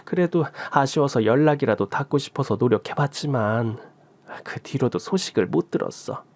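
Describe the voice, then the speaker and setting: A man's voice: mid-range voice, animated, moderate pace, darkish tone, no echo, lightly acted. A man voicing an emotional older mother-in-law who sighs, scolds and pleads in a story drama reading. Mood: wistful.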